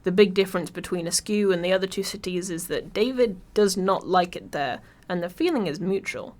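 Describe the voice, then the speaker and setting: A woman's voice: on the low side, fairly quick, darkish tone. A woman from Birmingham with an English accent reads a scripted video essay in a wry, informal, sweary way. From Birmingham, England.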